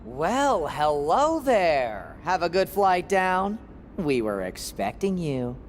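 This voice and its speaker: Weaselly male TV producer for a game cutscene: high voice, theatrical swoops, ringing hall. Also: sing-song, slow pace. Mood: smug. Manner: theatrical, smug or pleading. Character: smarmy male wrestling-show producer, cowardly when threatened. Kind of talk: video game cutscene acting. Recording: echoey hall with faint noise.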